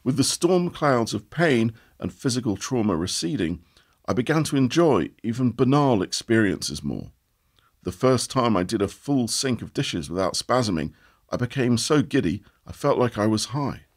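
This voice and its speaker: Expressive, slightly husky male narrator. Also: mid-range voice, moderate pace. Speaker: audio article reader. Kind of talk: scripted narration of a first-person essay.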